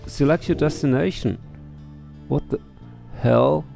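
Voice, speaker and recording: Middle-aged man, game streamer, gravelly, unhurried, mellow, reading text and commenting, music behind. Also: fairly high pitch. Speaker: let's play streamer. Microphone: headset.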